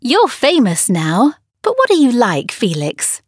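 A woman's voice: fairly high pitch, huge pitch swings, a little slow, dry recording, studio quality, heavily acted. A woman playing a cheerful kids' radio host. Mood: upbeat. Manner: upbeat, clearly enunciated questions. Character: cheerful female host of a children's internet radio show. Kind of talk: scripted textbook dialogue.

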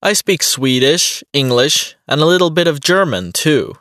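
A man's voice: on the high side, very animated, moderate pace, a bit bright, no echo, studio quality. A man playing a friendly young beatboxer, answering interview questions in a plain, evenly paced way for a textbook dialogue. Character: friendly young male beatboxer on a radio show.

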